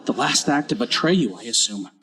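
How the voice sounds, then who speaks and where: A man's voice: medium pitch, expressive, moderate pace, fairly bright tone, slightly rough. An actor playing a naive, curious young man who questions an old storyteller in a conversational, uneasy way for an audio drama. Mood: uneasy, puzzled.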